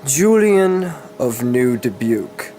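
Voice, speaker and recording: A man's voice: medium pitch, sing-song, slow, warm tone. Guy doing a greasy little storybook villain, gleeful and taunting, theatrical, bit of noise behind. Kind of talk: dramatic storybook reading. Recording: clear background noise.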